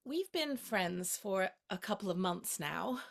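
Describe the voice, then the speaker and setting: Actress as tender, teasing young woman, unhurried. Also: medium pitch, lively intonation, creaky. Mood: shy, tender. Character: playful, tender young woman shyly courting her friend. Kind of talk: two-hander stage play reading.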